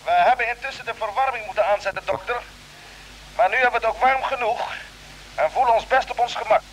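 An actor playing a brisk, unworried captain of a Mars expedition in a vintage radio serial. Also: male voice, very high voice, slight background noise, poor audio. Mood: assured. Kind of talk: vintage Dutch radio drama acting. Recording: humming vintage radio recording.

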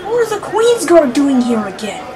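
Impulsive fantasy-drama boy of eleven played by a woman, Filipino accent, bright-eyed earnestness, high pitch, crowd noise behind. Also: sing-song, normal speed. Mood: curious, teasing. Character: impulsive eleven-year-old apprentice boy, brave but unskilled. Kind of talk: fantasy audio drama acting. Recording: noisy with busy street ambience behind.

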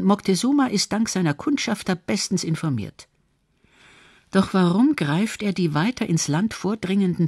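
A man's voice: high-pitched, no room sound, studio quality. A man reading scripted documentary narration as the narrator of an audio feature.

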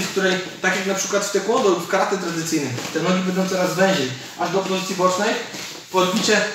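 A man's voice: sits high, a bit bright. A man, explaining martial arts techniques informally as an instructor while demonstrating them. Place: large indoor MMA cage gym. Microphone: camera several metres away. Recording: echoey gym, distant mic, some noise.

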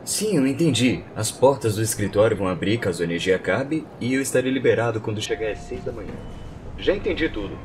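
A man plays an earnest, uneasy father seeking night guard work in a dubbed horror film. He has a Brazilian accent and a hesitant manner with very varied pitch. Ambient noise is clearly heard behind him. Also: expressive, fast, darkish tone, close to an everyday voice. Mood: puzzled, uneasy. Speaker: voice actor in a fan dub.

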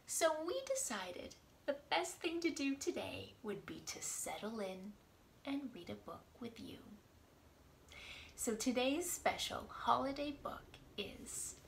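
A woman in her thirties hosting a storytime, talking expressively and deliberately to small children. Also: on the high side, big pitch swings, creaky, slight background noise. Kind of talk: expressive picture-book storytime reading for young children. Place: small indoor room at home.

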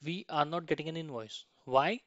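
A man giving an informal step-by-step software walkthrough, often checking in at the end of a point. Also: fairly high pitch, normal speed, no echo, highs cut off. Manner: frequently ends points with a questioning "right". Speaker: software tutorial instructor. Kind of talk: screen-share tutorial walkthrough, informal step-by-step.

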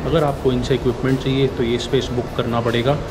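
A man's voice: mid-range voice, flat delivery, fast talker. A man in his thirties giving a casual walking tour of an apartment building, chatting in Hindi with English phrases, filmed on a handheld action camera. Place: new apartment building, rooms and rooftop. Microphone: handheld action camera, wide angle. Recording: echoey empty rooms, music underneath.

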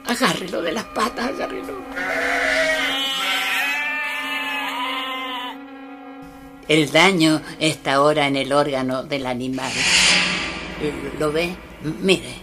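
An actress playing a solemn, urgent Mapuche shaman healer in a radio drama, halting and commanding, repeating her words. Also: deep voice, natural voice. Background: music.

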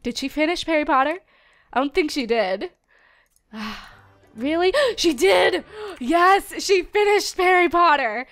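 A young woman with a noticeably high, bright-toned voice hosts a casual let's play. Her nose is stuffed up, and she chats bubbly, with frequent giggles and quick asides. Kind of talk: casual gameplay commentary.

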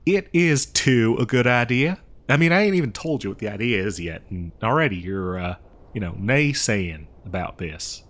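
A man's voice: lowish voice, animated, a bit bright. A man playing a dim, good-natured cowboy mail rider in a comedy roleplay, rambling hesitantly in an American cowboy drawl. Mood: mildly indignant. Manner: rambling, hesitant, folksy, slow-witted. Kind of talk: improvised comedy audio roleplay.

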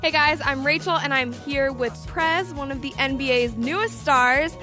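An American actress playing a friendly young TV sports interviewer in a video game, upbeat and chatty. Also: female voice, high voice, moderate pace, over loud music, natural voice. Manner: polite, upbeat, chatty presenting.

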